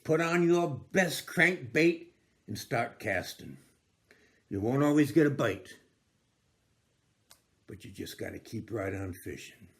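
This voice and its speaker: A man acting a homespun father figure in an amateur stage monologue. He speaks very slowly and haltingly, with big swings in pitch, musing as he passes his wisdom to a boy. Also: expressive. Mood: folksy, musing. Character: folksy fatherly man giving a boy life advice. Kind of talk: comic monologue, amateur theatre.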